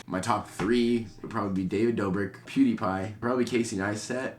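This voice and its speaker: A man's voice: fairly low pitch, steady tone, brisk. A twenty-year-old man answering interview questions about himself in a casual, candid, self-deprecating way.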